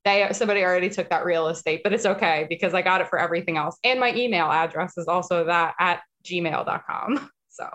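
A calm woman speaks as a guest in a casual interview. Her voice sits a bit low, and she keeps an even, steady delivery that she calls monotone herself. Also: fast talker, highs cut off. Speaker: guest, marketing specialist.

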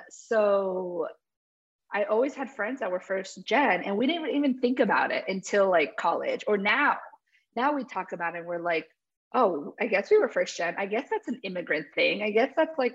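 A woman's voice: medium pitch, slightly rough. A young woman from New Jersey co-hosting a podcast, talking casually with lots of "like" fillers. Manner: conversational, many "like" fillers. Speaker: podcast co-host. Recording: dull lo-fi podcast audio.

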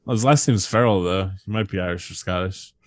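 A man's voice: sits low, brisk, no room sound, studio quality. A man hosting a comedy podcast, reading strange stories aloud and breaking into laughter and asides. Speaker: podcast host reading a countdown list.

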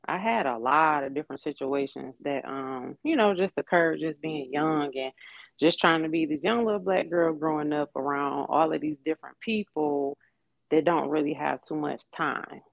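A young woman from with a gravelly, creaky edge to her voice. She talks as a podcast guest, easygoing and reflective, and laughs often. The sound is dull and dry, with the lo-fi feel of a plain podcast recording. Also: low-pitched, expressive, a little slow, no room sound. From Detroit, Michigan. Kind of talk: casual interview chat.

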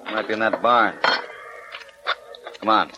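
Male actor as brisk sheriff, lowish voice, American accent, shrewd, commanding, vintage radio crime drama, dull lo-fi sound. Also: natural voice. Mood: businesslike. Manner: brisk, authoritative. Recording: dull, faintly noisy old radio recording.

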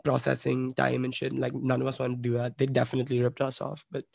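Young man from Bombay, creaky and flat. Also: medium pitch, quick pace, dry recording, studio quality. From Bombay, India. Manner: rambling, swears a lot, many "like" fillers. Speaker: podcast guest. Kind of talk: casual storytelling.

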